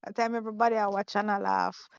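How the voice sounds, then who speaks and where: A Jamaican woman tells a school memory in halting bits, often pausing to find her words. The sound is dry and a little dull, like a lo-fi call. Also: mid-range voice, flat delivery, vocal fry, clear, resonant tone, dry recording. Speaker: guest, former student. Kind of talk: casual storytelling on a video call.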